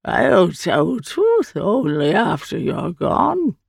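A woman voicing a stern, guarded creature in an audiobook story, with drawn-out, deliberate speech. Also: mid-range voice, wildly swooping pitch, creaky, husky, no echo, clean studio sound, pitched above the performer's own voice, full character voice. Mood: grudging. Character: the Eye, floating many-armed creature, slow, stern, guarded.

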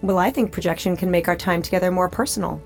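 An actress playing a friendly, upbeat AI hologram tour guide, speaking in a polished, personable way in an audio drama. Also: female voice, lowish voice, steady tone, fast talker, creaky, a little mellow, soft background music. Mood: friendly, playful. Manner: polished, personable presenter delivery. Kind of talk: scripted audio drama acting.

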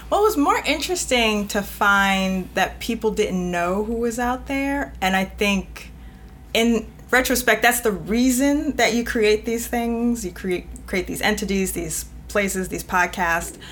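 A woman's voice: mid-range voice, measured pace, slight background noise. A woman editor on a podcast, talking conversationally and reading a passage aloud. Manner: conversational, laughs often, expressive. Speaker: editor and guest.

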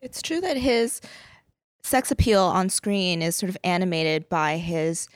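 Woman, smooth clear voice, dry close sound, thoughtful and casual. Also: fairly low pitch, a little slow, no echo. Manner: thoughtful, repeats words while thinking. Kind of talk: casual chat.

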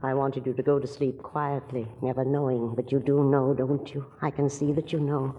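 An actress playing an outwardly doting, coaxing middle-aged aunt who is quietly a killer, in a 1940s radio drama. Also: female voice, rumbling low, flat delivery, normal speed, a little hiss or hum. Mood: calmly menacing. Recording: dull old radio recording, long reverb.

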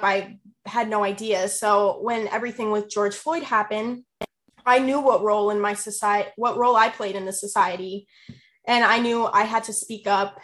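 A woman in her twenties, answering an interviewer with an earnest, heartfelt reflection in measured phrases. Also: medium pitch, a bit bright. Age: 20s.

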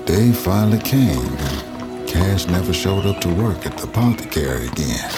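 A man's voice: deep voice, lively intonation, normal speed, loud music behind the voice. An actor playing an older man recounting his supernatural past in vivid, colloquial first-person narration for an audio drama. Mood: wistful.

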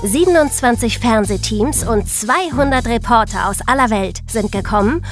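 A woman's voice: on the high side, animated, moderate pace, faint music behind. A woman co-hosting a podcast, moving between scripted narration and light banter. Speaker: podcast co-host.

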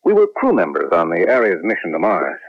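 Stern American colonel, very low voice, animated delivery, commanding, proud, vintage radio drama. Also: male voice, brisk, very noisy, full character voice. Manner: commanding, authoritative. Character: stern, proud colonel who trains clones for space. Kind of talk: 1970s radio drama acting. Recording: muffled lo-fi 1970s radio broadcast.

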